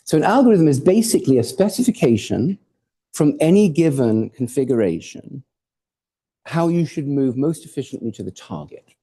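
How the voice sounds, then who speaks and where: Very dark-voiced man giving a rambling lecture. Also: medium pitch, very animated, normal speed, breathy edge. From born in Hawaii, raised in Portugal and England. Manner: informal, digressive, jokey, swears for effect. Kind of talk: academic talk with slides. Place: lecture hall.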